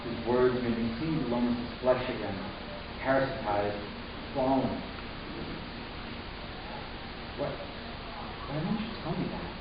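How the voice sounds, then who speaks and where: A man playing a troubled, horrified young man, narrating his ordeal solemnly and deliberately in a dramatic reading. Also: mid-range voice, lo-fi sound, lightly acted. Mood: somber. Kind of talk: scripted first-person narration, dramatic reading. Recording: echoey room from far off, hissy.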